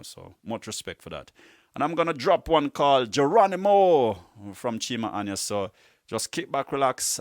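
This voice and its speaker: A man with a low voice hosts a music show in a laid-back, conversational style. His talk is casual and full of Caribbean-inflected slang, and the sound is close and dry. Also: a bit bright, dry recording. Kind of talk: casual radio-style chat between music tracks.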